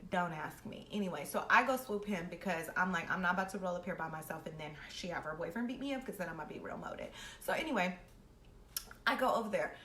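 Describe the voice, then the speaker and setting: A woman's voice: fairly low pitch, quick pace, fairly bright tone. A young woman telling a personal story casually and animatedly, with brash, slangy, heavily profane talk, acting out both sides of exchanges. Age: young adult. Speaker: host of a storytime series. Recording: roomy echo with faint background noise.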